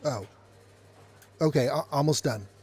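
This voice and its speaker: A man's voice: medium pitch, unhurried, darkish tone, slightly rough. A man playing a meek, good-natured young coffeehouse worker in an audio drama, mild and hesitant in manner. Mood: friendly, shy.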